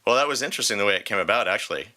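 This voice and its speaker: Deep, very bright-voiced man podcasting. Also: expressive, racing. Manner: informal, joking. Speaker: podcast co-host, singer and voice actor. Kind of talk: casual podcast chat. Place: recording studio.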